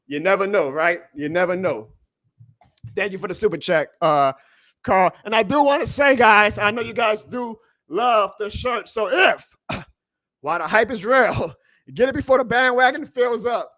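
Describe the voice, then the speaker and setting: A man hosting a live sports reaction stream, excitable and emphatic, his voice going hoarse. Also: high-pitched, normal speed, dry recording. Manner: excitable, emphatic, plugs merch, reads chat aloud. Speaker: sports fan livestream host.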